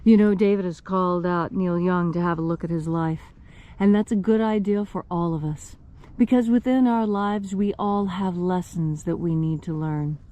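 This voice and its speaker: A woman echoing ritual prayers and then guiding a meditation in a calm, measured, instructive way. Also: lowish voice. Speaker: ritual co-leader. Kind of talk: guided meditation and ritual responses.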